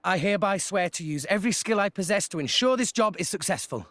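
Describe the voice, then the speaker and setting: An actor voicing an eager, energetic young salvager. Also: male voice, sits high, fast talker, no room sound. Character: cheerful young male salvager. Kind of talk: video game voice acting.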